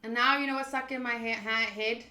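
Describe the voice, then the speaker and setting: A young woman streaming a game, talking to chat in a playful, animated, jokey way. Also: fairly high pitch, fairly quick, roomy sound, soft background music. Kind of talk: casual livestream reaction.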